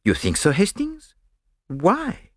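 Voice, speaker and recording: A man's voice: on the high side, huge pitch swings, slow pace, no echo, clean studio sound, voice pushed up, full character voice. A man voicing a fussy, proud foreign detective with a French accent, exclamatory and quaintly formal, in an audiobook. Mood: questioning.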